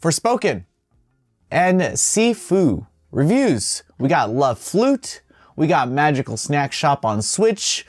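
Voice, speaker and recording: A man's voice: a little high, sing-song, slow, no echo, clean studio sound. A man hosting video game news in an informal, wry commentary style.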